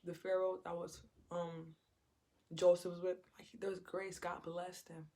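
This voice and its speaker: A woman's voice: on the low side, little pitch movement, unhurried, warm tone. A woman giving an informal Bible teaching in a conversational way, repeating her phrases and acting out quotes. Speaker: preacher.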